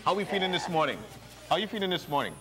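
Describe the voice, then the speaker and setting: A man's voice: sits high, animated, very fast, fairly bright tone, low-quality recording. A man co-hosting a live morning TV show, upbeat and laughing often, now and then singing a line. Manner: upbeat, laughs often, sings short bits. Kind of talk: casual live TV chat. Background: background music.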